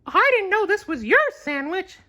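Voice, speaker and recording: A man voicing a cheerful, plucky little cartoon mouse in a squeaky, giggly falsetto for a storybook. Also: sing-song, normal speed, bright, forceful tone, full character voice. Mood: apologetic, nervous. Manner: giggly, bubbly.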